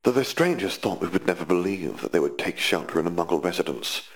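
Man voicing a creaky, fervent dark wizard. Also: sits low, expressive, fairly quick, rough recording. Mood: solemn zeal. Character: fervent male dark wizard rallying his brother to war. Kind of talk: audiobook character dialogue.

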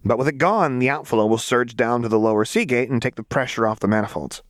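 A man voicing a manic, brash young woman saboteur in an audiobook, with a shrill, slangy, rambling delivery. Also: fast, no echo, studio quality, natural voice. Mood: casual, explaining.

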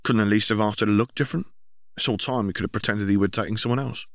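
A man's voice: fairly low pitch, very fast, no echo, studio quality, natural voice. A man voicing a sullen, resentful boy who argues defensively in a story reading. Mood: resentful, indignant. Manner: defensive, indignant. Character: sullen, resentful young boy arguing with siblings.

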